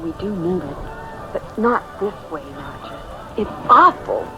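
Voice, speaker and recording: An actress playing a jumpy, anxious young newlywed wife, fretful and pleading, in mid-century radio drama style. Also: female voice, fairly low pitch, lively intonation, a little slow. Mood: alarmed, pleading. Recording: dull vintage radio recording, music behind.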